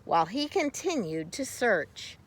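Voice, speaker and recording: A woman reading a children's story aloud expressively, with theatrical, deliberate phrasing and dramatic pauses. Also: on the high side, very animated, slight background noise. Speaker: storybook reader.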